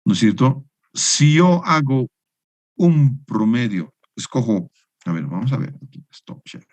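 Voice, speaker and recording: A man's voice: mid-range voice, big pitch swings, unhurried, a little mellow, no echo. A man giving an online engineering lecture to university students. He teaches in a didactic way and keeps checking in with tag questions. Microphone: video call.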